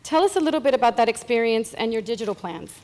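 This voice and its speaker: Halting woman moderator in a hall. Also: a little high, expressive, darkish tone. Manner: hesitant, repeats words while framing questions. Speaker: interviewer, moderator. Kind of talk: onstage interview questions. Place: conference stage before a seated audience. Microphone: handheld mic. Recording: reverberant conference hall.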